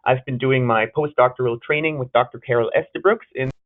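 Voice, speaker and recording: A man's voice: mid-range voice, a little fast. German-accented man on a tinny line. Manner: earnest, with frequent uh fillers and self-corrections. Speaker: presenter. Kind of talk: webinar research presentation. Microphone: video call.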